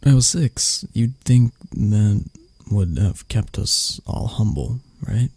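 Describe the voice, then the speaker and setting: A man playing an arrogant, prickly CEO boss who turns vulnerable and flirty, in an intimate, halting ASMR roleplay that often drops toward a whisper. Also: on the low side, darkish tone, husky, dry recording, exaggerated voice. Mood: bitter, vulnerable.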